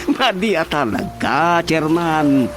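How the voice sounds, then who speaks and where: Teasing comic villager, very high voice, Ilocano accent, radio drama with prominent music behind. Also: male voice, very animated, drawn-out words, heavily acted. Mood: needling. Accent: Ilocano Filipino.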